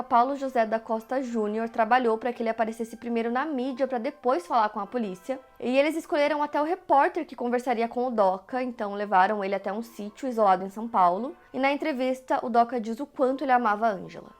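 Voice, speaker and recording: A Brazilian woman steadily narrating a true crime case from a script. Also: a little high. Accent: Brazilian Portuguese. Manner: steady, even retelling of events.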